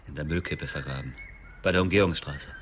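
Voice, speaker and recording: Very deep-voiced, cold avenging man. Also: moderate pace, slight background noise. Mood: cold, self-righteous. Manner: cold, defiant, matter-of-fact. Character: bitter, self-righteous widower turned cold-blooded avenging killer. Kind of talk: radio drama acting and first-person narration.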